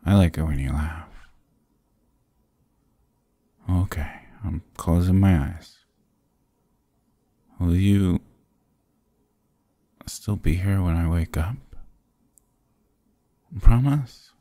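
A man voicing a stubborn but affectionate sick young boyfriend in an intimate ASMR roleplay, soft, husky and drowsy, murmuring close to the mic. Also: sits low, slow pace, pauses often, no echo, heavily acted. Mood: loopy, tender. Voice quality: husky, gravelly, breathy. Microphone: close, dry microphone.

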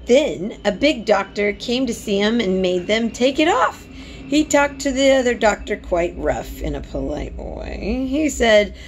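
A woman voicing an anxious, excitable young Yorkshire housemaid from a storybook, exclaiming in dialect. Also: on the high side, measured pace, a little hiss or hum, voice pushed up. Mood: gossiping. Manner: agitated dialect speech, exclaiming.

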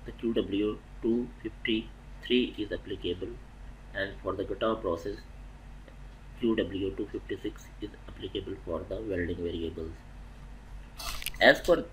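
A man narrating a technical lecture over slides, methodical and halting, restarting phrases mid-sentence. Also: on the low side, a bit bright, a little hiss or hum, low-quality recording. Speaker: welding inspection instructor.

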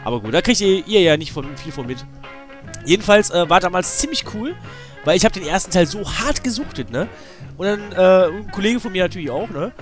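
A man's voice: high voice, expressive, a little fast. A man with a slight cold giving rambling, informal, slangy let's play commentary. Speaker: let's player. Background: video game music.

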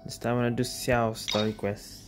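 A woman's voice: extremely low pitch, little pitch movement, a little fast, music in the background. A woman gaming streamer giving casual playthrough commentary in short, muttered reactions.

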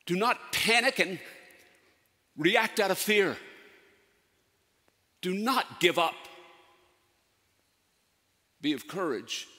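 A man performing a theatrical monologue as a solemn, commanding ancient prophet, speaking deliberately with dramatic pauses. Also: high voice, big pitch swings, bright, forceful tone, husky, heavily acted. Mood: urgent, encouraging. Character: prophet Isaiah, solemn, commanding, counseling a fearful king. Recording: echoey hall with long reverb.

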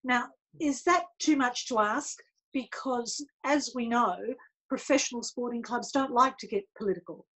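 Measured Australian woman interviewer. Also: a little high, a bit bright, low-quality recording. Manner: measured, probing questions. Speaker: interviewer, journalist. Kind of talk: interview questions. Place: home study with bookshelves, indoors. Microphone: video call webcam.

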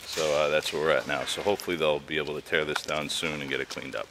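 A man's voice: extremely low pitch, fairly even intonation, fast talker, background noise. A man hosting an exploration vlog, chatting casually on a handheld camera inside a large derelict building. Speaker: host of urban exploration vlog. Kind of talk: casual chat while exploring. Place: inside a large dark abandoned industrial building.

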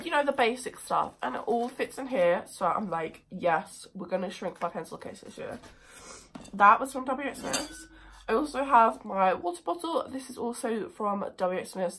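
A teenage girl from England with a cold and a croaky voice, chatting casually and with a self-mocking liveliness to a phone camera in her bedroom. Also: mid-range voice, fairly bright tone. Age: teens. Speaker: vlogger. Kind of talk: casual chat. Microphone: phone camera at desk distance.

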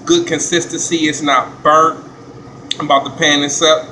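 A man, a chef filming a casual vlog in a commercial kitchen, talking in relaxed slang. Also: on the high side, normal speed, noisy background. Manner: laid-back slangy hype talk. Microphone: handheld phone camera.

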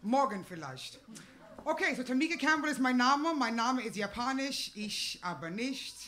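A woman in her thirties from the United States, a native English speaker with an American accent, doing an animated stand-up routine on a small stage into a handheld mic. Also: a little high, moderate pace, a crowd in the background. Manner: brash, animated, with English phrases mixed in. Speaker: stand-up comedian. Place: small laundromat stage before a live audience.

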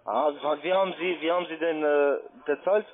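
A man calling on the phone as a scam caller, pushing with halting, repeated questions in non-native grammar. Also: fairly high pitch, steady tone. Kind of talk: phone call, pushy questioning. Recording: muffled lo-fi phone line.

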